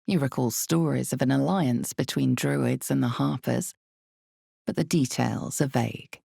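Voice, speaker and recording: A woman voicing the omniscient narrator of a dark fantasy game, grave and ominous, with measured delivery and dramatic pauses. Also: dry recording, clean studio sound, heavily acted. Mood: ominous, mysterious. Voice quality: creaky, low, mellow. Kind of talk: dramatic scripted second-person fantasy narration.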